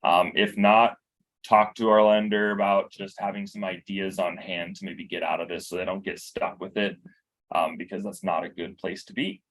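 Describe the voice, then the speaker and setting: A man from a bank giving a relaxed briefing, explaining loans with examples. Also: low-pitched, normal speed. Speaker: bank loan officer. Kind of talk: informal work briefing.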